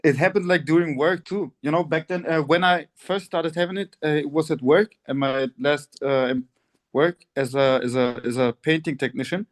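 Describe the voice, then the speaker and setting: Chatty man, higher voice. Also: moderate pace. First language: Turkish. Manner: rambling, many fillers and restarts, laughs often. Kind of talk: casual chat.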